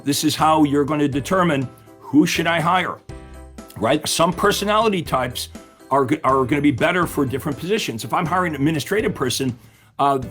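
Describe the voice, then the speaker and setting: A man's voice: on the high side, fairly bright tone. A middle-aged man coaching real estate agents in an upbeat way, often asking the audience questions, while his voice is giving out. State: losing his voice. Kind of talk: webinar-style coaching talk.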